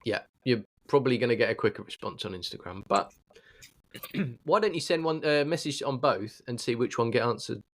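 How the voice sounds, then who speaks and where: British male podcast host, medium pitch, informal conversational delivery with a wry joking streak. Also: lively intonation, fairly quick. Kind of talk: casual chat.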